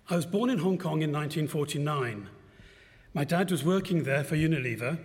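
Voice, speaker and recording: A man in his seventies giving a personal testimony at a church service, telling his life story in a gentle, reflective way with light self-mocking humour. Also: fairly high pitch. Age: 70s. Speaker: guest speaker at a church service. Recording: long church-hall reverb.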